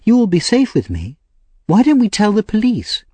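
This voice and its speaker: A man reading the part of a kind, gentle young lady in a storybook, speaking softly. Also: sits high, huge pitch swings, moderate pace, breathy edge, no echo, voice pushed up, heavily acted. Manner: soft and gentle.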